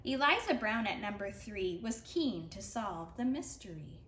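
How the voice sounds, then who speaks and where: A woman's voice: medium pitch, very animated, a little slow, a bit bright. A woman reading a rhyming picture book aloud expressively for preschool children. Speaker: read-aloud storyteller for preschoolers. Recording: roomy sound with faint background noise.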